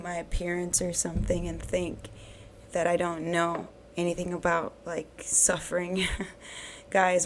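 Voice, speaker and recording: A woman hosting a bible reading channel, talking earnestly and encouragingly to her viewers while her voice is hoarse from recent illness. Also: fairly low pitch, a little hiss or hum. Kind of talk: casual devotional talk to camera.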